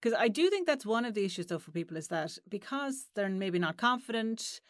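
A woman's voice: mid-range voice, very animated, a little fast, no echo, studio quality. An Irish woman hosting an interview podcast, asking probing conversational questions. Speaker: podcast host.

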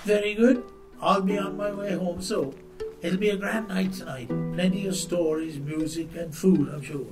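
A man's voice: high-pitched, steady tone, moderate pace, reverberant, distant mic, music in the background, voice pushed up, natural voice. A man voicing a friendly, mysterious old man in an audiobook. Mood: genial. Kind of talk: audiobook character acting.

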